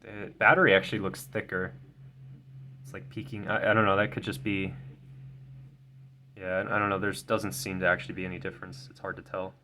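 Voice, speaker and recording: A man's voice: low-pitched, level tone, fairly quick, long pauses, bright, forceful tone, slight background noise. A young man, tired and a bit stuffy, chatting casually and rambling with many restarts while unboxing a phone at his desk. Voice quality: slightly nasal. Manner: rambling, self-correcting, many ums and stumbles. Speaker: tech video creator. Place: desk at home, indoors.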